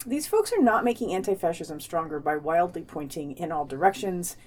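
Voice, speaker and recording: A woman's voice: on the low side, sing-song, brisk, a little mellow. A woman co-hosting a podcast, reading scripted news items and quotes with wry commentary. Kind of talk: scripted narration with read quotes and brief commentary.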